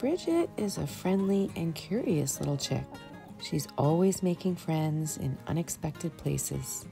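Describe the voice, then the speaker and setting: A middle-aged woman giving a gentle, reflective scripted voice-over in a storytelling style. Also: sits low, expressive, unhurried, creaky, soft background music. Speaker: vlog narrator.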